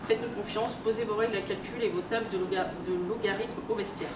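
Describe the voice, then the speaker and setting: A woman giving an informal conference lecture, explaining in a colloquial, halting way with frequent hesitations and repeated words. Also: mid-range voice, fairly even intonation. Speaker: guest speaker, researcher and game publisher. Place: room at a convention, recorded from afar. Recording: distant roomy mic, loud background noise. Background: loud ambient noise.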